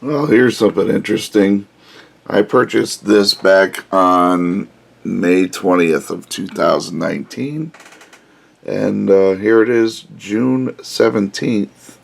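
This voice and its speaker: A man with a scratchy voice giving a relaxed, casual hands-on review of a cheap car stereo, explaining each step with plenty of pauses and "uh"s. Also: sits low, creaky. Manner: relaxed, unhurried explaining with frequent "uh"s. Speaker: car audio hobbyist reviewer.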